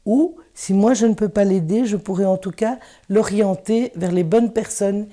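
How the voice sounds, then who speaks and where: A woman's voice: mid-range voice, moderate pace, dark tone. A woman giving an earnest interview answer as a youth support worker.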